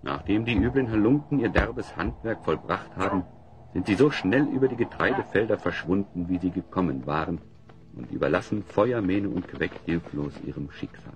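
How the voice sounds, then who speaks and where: A man's voice: deep voice, expressive, moderate pace, dull audio. A man playing the solemn narrator of a fantasy audio drama, telling the tale in a theatrical, scripted style. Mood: dramatic, solemn. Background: music.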